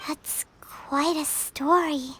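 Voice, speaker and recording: A woman voicing a small, childlike fairy companion in a video game, with a squeaky voice and simple, chatty, earnest phrasing. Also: steady tone, slow pace, bright, forceful tone, a little hiss or hum, full character voice. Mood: bemused. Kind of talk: video game character acting.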